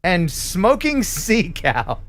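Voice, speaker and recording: A man hosting a live stream in a casual, chatty way, reading out donations with bursts of excitement. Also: high-pitched, slow, a crowd in the background. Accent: neutral radio American. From Philadelphia area. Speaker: livestream host.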